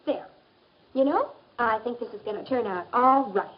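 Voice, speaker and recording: A woman acts out a self-assured American teenage girl from a 1950s instructional film. She speaks in a fairly high voice with a confident, advising manner. The vintage track sounds dull. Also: lively intonation, normal speed, slight background noise, natural voice. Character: assured teenage girlfriend pushing advice on her friend. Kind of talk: 1950s educational film acting.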